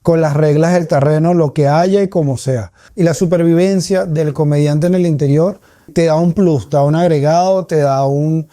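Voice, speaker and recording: A man's voice: a little high, steady tone, normal speed, mellow, dry recording, studio quality. A man with a Caracas Venezuelan accent giving reflective, conversational answers as a comedian being interviewed.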